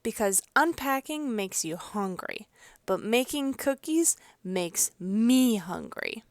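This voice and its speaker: A woman's voice: fairly high pitch, animated, slow, no echo, clean studio sound. A woman voicing a keen, playful young boy in a children's storybook. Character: excitable young boy, observant and hungry.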